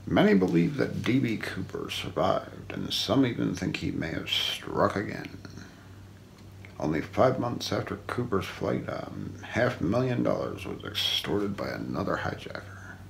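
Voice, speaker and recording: A man in his forties doing an ASMR role play, narrating an unsolved mystery script in a hushed, deliberate way with trailing phrase ends. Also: lowish voice, big pitch swings, gravelly. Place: small indoor room. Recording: faint background noise.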